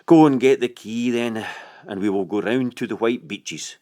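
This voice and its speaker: A man's voice: mid-range voice, moderate pace, dark tone, natural voice. A man voicing a bossy, sulky island boy who is scornful of girls, in a storybook reading. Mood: grudging.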